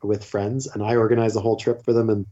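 A man's voice: lowish voice, little pitch movement, quick pace, dark tone, muffled recording. A Canadian man in his forties, a teacher and podcast guest, telling stories in loose casual chat with lots of fillers and restarts. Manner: rambling, self-interrupting, with frequent fillers and restarts. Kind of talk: casual conversational storytelling.

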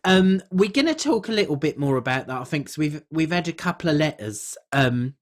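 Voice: mid-range voice, animated, brisk. A podcast co-host, chatting casually and comically in a rambling way with colloquial, non-standard grammar.

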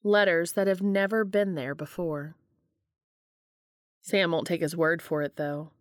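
A woman reads fiction aloud as an audiobook-style narrator. Her voice sits a little on the low side for a woman. The recording is dry, without audible room. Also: steady tone, a little slow, no room sound. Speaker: fan fiction reader.

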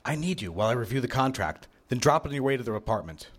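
A man's voice: medium pitch, very animated, very fast. A man playing a curt, domineering advertising executive in a live radio drama, brusque and commanding. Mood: stern, impatient. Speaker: actor.

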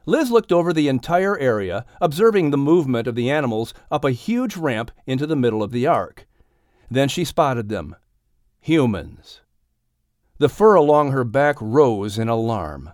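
A man narrating a children's audiobook story in an expressive, scripted storytelling style. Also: medium pitch, normal speed, no echo, studio quality.

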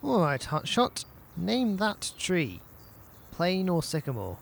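A man playing a cheeky, bossy young schoolmate in an audio drama, teasing and quick to wriggle out of blame. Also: high voice, huge pitch swings, vocal fry, heavily acted. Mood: cocky, teasing.

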